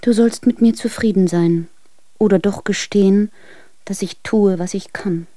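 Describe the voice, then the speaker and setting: A lovesick young wife in a radio play, acted by a woman, speaks in a mellow, intimate, breathy voice. She clings and pleads as she reads out her love letters, recorded very dry and close. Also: mid-range voice, measured pace, no echo, natural voice. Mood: pleading.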